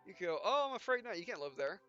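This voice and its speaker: A man's voice: high voice, sing-song, fast talker, fairly bright tone. A man doing a let's play, reading game dialogue aloud in a rambling, stumbling way with filler syllables and asides. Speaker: gaming video host. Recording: muffled lo-fi mic, music behind. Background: game music.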